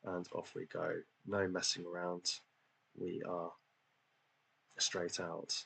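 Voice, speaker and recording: A man's voice: sits low, completely flat delivery, slow pace, gravelly, fairly bright tone, breathy edge. A man commentating casually on a football management game he is playing, talking in a chatty, hesitant way with lots of ums and self-deprecating asides. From England. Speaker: gaming channel host.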